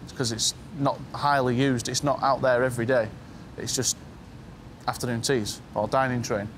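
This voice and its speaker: A man who works on railway carriages, talking casually and warmly in an interview and laughing easily. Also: medium pitch, level tone, moderate pace, slight background noise. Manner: chatty, warm-hearted, laughs easily. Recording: interview with background music.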